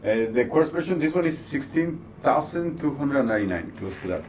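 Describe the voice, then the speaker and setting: A man giving casual, halting interview answers at a trade show, often repeating words mid-sentence. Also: medium pitch, very animated, a little mellow. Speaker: loudspeaker designer and company co-founder. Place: busy audio trade show. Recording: noisy trade show floor.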